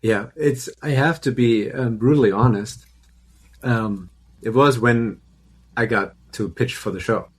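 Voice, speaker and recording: A man's voice: mid-range voice, a little slow, husky. A man from Germany with a German accent, a composer talking candidly and self-deprecatingly as an interview guest over a remote call. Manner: candid, self-deprecating, talks in long loose runs. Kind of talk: relaxed interview conversation.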